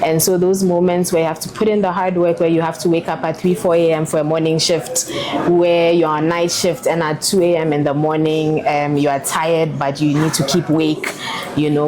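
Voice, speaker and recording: A woman's voice: low-pitched, level tone, moderate pace, crowd noise. A woman air traffic controller interviewed about her career, speaking earnestly and reflectively with frequent fillers.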